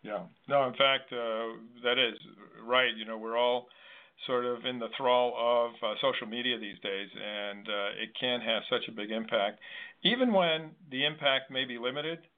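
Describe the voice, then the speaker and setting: A man hosting a conversational interview, speaking in a measured, agreeable way. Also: mid-range voice, smooth. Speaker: discussion host. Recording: narrow tinny phone-like line.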